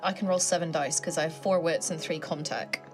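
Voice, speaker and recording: A woman's voice: low voice, steady tone, fairly quick, music in the background. A woman playing a tabletop role-playing game, moving casually between table talk and role-play.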